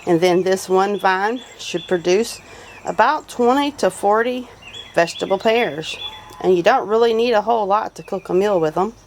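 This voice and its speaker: Woman, Southern US accent, medium pitch, folksy and chatty, casual garden vlog, faint outdoor bird and farm animal noise. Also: moderate pace, darkish tone. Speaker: homesteading vlogger. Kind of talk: casual garden tour narration. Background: birdsong and farm animal sounds.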